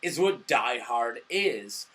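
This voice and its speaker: A drunk man co-hosting a casual movie review, rambling emphatically and excitably and swearing freely. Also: mid-range voice, slow pace. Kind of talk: casual profane movie chat.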